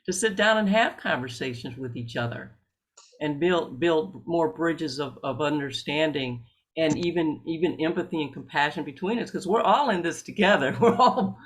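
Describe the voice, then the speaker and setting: A man's voice: fairly high pitch, animated, normal speed, low-quality recording. A man facilitating a workshop discussion, a clinician speaking in an affirming, encouraging and reflective way.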